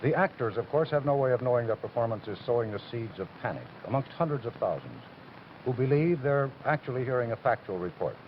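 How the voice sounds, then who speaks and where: TV drama narrator, measured and dramatic, medium pitch, lively contours, dull noisy audio. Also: male voice. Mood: grave, measured. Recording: muffled, noisy old TV soundtrack.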